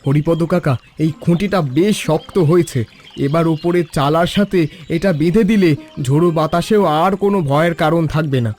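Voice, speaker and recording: A man voicing a loyal, encouraging village neighbour in an animated story. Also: a little high, brisk, natural voice. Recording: voice over background music.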